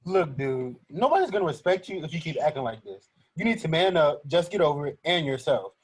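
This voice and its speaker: A man's voice: a little high, sing-song, a little fast, slightly rough, rough recording. A young man acting in a student play as a brash, blunt, competitive gamer. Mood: dismissive, harsh. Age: young adult. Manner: blunt, cocky.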